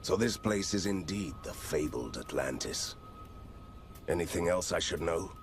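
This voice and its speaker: Gruff deadpan monster hunter, super deep voice, video game, loud music behind. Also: male voice, measured pace. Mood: dry, deadpan. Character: grim, dry-witted male monster hunter.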